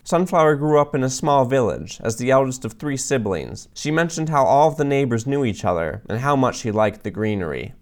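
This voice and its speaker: A man hosting a podcast, reading scripted narration in an even, measured way. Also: mid-range voice. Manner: measured, even read-aloud delivery. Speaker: podcast host, librarian.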